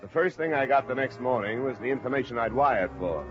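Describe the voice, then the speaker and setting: A man's voice: mid-range voice, lively intonation, a little fast, natural voice. An American man playing a dry, businesslike investigator in a 1950s radio detective drama, clipped and wry. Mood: matter-of-fact narrating. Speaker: lead actor and narrator. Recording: dull vintage radio recording, music underneath.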